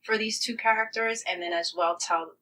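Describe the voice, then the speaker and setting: Woman interview guest, earnest and thoughtful, bright forward tone, slightly distant microphone. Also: medium pitch, moderate pace. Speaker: playwright guest. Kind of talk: conversational podcast interview.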